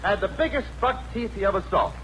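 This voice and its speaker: An actor playing a breezy, boastful skinny joker in a 1940s radio comedy. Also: male voice, high-pitched, expressive, fast, a bit bright. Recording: noisy old radio recording. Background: rain sounds.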